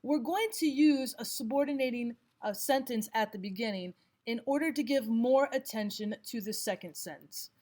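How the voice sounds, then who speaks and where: A woman teacher giving scripted instructional narration, explaining and reading examples out deliberately. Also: a little high, darkish tone. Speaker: language arts teacher.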